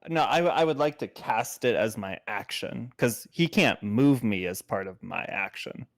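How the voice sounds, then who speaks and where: Animated man playing a tabletop game. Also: lowish voice, unhurried. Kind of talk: casual game chat.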